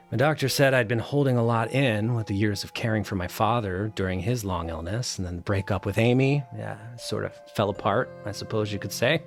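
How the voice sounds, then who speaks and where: A troubled, bookish man in an audio drama. His voice sits fairly low, and he talks in a hesitant, reflective way, as if turning over old memories. Music plays softly behind him. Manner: hesitant, halting, introspective. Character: troubled, bookish, melancholy man revisiting childhood holiday guesthouse.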